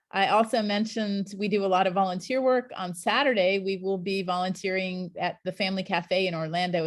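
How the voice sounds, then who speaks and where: A middle-aged woman leads an online scuba class over a video call, chatty and encouraging, with plenty of fillers. Her voice sits at an ordinary pitch. The sound is dry and close, with little room around it. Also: no echo. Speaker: scuba instructor trainer.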